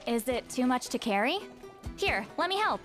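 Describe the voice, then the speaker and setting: A woman's voice: high voice, big pitch swings, moderate pace, over loud music. A woman voicing a spirited, helpful young adventurer in a fantasy video game. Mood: eager.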